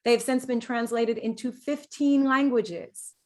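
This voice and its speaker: A woman's voice: fairly high pitch, fairly even intonation, a little slow, a little mellow. A woman from New York City co-hosting a show, giving introductions that sound partly read from prepared notes. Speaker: co-host, actor and director.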